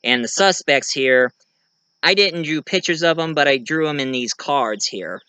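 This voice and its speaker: A man's voice: on the high side, lively intonation, normal speed, pushed and bright, clean tone, no room sound. A man talking casually as a video creator, telling about his own writing in a rambling, enthusiastic way. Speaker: video creator and amateur writer. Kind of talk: casual vlog talk.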